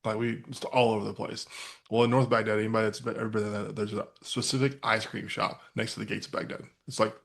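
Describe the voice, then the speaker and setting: A man's voice: fairly low pitch, little pitch movement, quick pace, gravelly, a bit bright. A man in his forties, a podcast host, telling a casual story with lots of fillers and restarts. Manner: rambling, many fillers and restarts.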